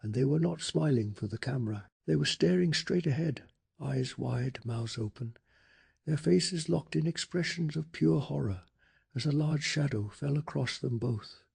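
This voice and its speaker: A man softly narrating a bedtime story in a calm, hushed ASMR style, telling it with deliberate drama. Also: fairly high pitch. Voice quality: soft, breathy.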